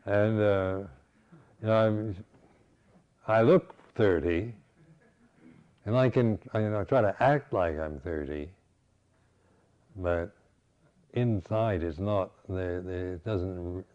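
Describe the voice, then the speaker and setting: An older man, a Buddhist teacher, giving a reflective dharma talk with halting restarts. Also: deep voice, a little mellow, highs cut off. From United States.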